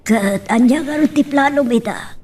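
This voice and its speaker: A woman's voice: high-pitched, normal speed, darkish tone, slightly rough, far from the mic. A woman playing a concerned, motherly auntie in an Ilocano family drama. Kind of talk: Ilocano family radio-style drama acting.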